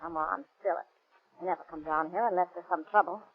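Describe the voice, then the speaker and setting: An actress playing a guarded, slangy madam who gives curt, evasive answers in a 1930s radio drama. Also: female voice, fairly low pitch, quick pace, slightly rough. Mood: guarded, impatient. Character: brassy, guarded madam of a small-town resort. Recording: tinny vintage 1930s radio recording.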